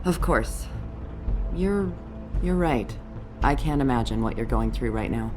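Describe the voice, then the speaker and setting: Coaxing police detective, expressive pitch. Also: female voice, music in the background. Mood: coaxing, sympathetic. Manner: measured, placating interrogator. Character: patient, coaxing female police detective in adventure game. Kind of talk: video game voice acting.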